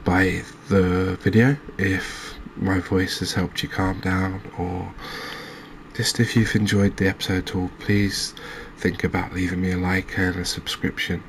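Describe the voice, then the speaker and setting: A soft-spoken man narrating a gaming recap in a calming, hesitant ASMR style. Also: sits low, measured pace, a little hiss or hum. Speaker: host of a cozy gaming channel.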